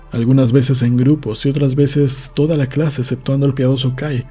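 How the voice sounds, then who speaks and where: Male audiobook narrator, measured delivery, warm and slightly husky, light music underneath. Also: mid-range voice. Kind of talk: scripted literary narration, novel read aloud.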